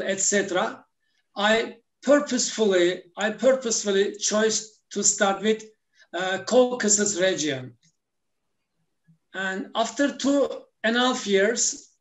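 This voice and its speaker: A man's voice: sits high, roomy sound. A man, talking as a guest in a casual interview, hesitant with many fillers. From Turkey. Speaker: guest, language teacher.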